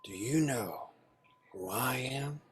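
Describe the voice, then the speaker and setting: Guy reading stern crossbow vigilante woman, husky, few words, menacing. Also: medium pitch, animated, takes it slowly, heavily acted. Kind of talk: film script table read.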